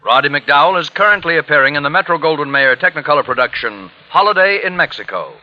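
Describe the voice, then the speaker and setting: A man's voice: a little high, expressive, quick pace. An American man reading scripted announcements in a 1940s radio style. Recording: muffled lo-fi vintage radio broadcast. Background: music.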